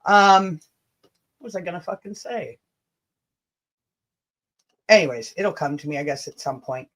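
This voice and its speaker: A woman's voice: on the low side, lively intonation. Sarcastic Canadian woman livestreaming. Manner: sarcastic, sweary, drawn-out emphasis. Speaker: livestream host. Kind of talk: casual, rambling livestream chat with mocking asides.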